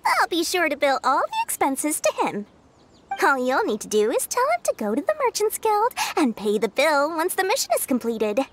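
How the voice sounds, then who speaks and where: A woman's voice: sits high, wildly swooping pitch, moderate pace, a little hiss or hum. A woman voicing a small, shrewd, money-loving female merchant in a video game, with wheedling, theatrical sales patter. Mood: smug, greedy. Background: music.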